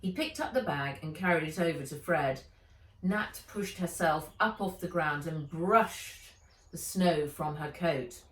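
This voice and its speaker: A woman's voice: sits low, a little slow. A woman author reading a children's novel aloud in an audiobook narration style. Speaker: author reading their own book. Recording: roomy echo, slightly distant mic.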